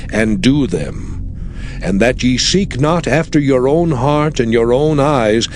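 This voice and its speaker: A man's voice: mid-range voice, darkish tone, slight background noise. A man giving a formal, solemn, declarative reading of scripture for an audio drama. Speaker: scripture reader.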